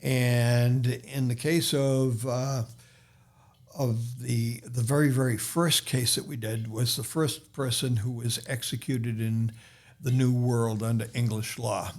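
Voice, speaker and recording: Slow, halting man with a Boston accent. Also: mid-range voice, darkish tone. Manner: slow, halting, many restarts and fillers. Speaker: podcast guest, author. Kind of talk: casual podcast conversation.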